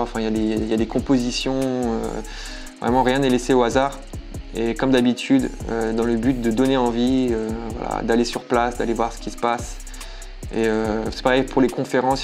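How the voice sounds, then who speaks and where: Relaxed man, faint music behind. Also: medium pitch. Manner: relaxed, many fillers and restarts. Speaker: youtuber. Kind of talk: casual vlog commentary.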